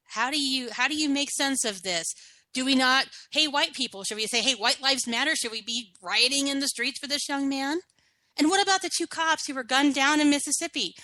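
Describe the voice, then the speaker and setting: A woman's voice: fairly high pitch, bright, forceful tone, no echo, poor audio. A woman hosting a talk radio show, sarcastic and combative, firing off rhetorical questions, a little froggy from the end of a cold. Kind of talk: opinionated talk radio monologue.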